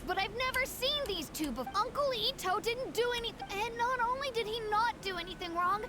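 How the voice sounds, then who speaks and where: Very high, indignant little kid. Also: female voice, animated, a little fast, background noise. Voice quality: piping, childlike. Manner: insistent, protesting. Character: small earnest child, loyal and indignant. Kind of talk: video game voice acting.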